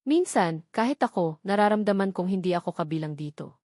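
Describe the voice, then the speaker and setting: A woman voicing a brooding, wounded young male billionaire in an audio romance, serious and soft-spoken. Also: mid-range voice, animated, brisk, no room sound, clean studio sound, natural voice. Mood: gentle, serious.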